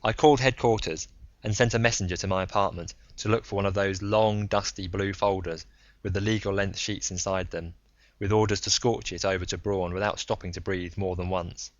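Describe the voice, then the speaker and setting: A man's voice: deep voice, steady tone, brisk, dry recording, lo-fi sound. A man reading a first-person story aloud as scripted audiobook narration. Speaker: audiobook reader.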